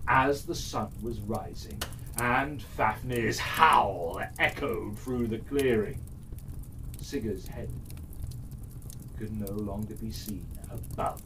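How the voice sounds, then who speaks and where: A man telling a fairytale to children in a dramatic, theatrical way, drawing out phrases with suspenseful pauses. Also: lowish voice. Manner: theatrical, drawn-out delivery with suspenseful pauses and big swells. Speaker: storyteller. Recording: distant mic, faint background noise.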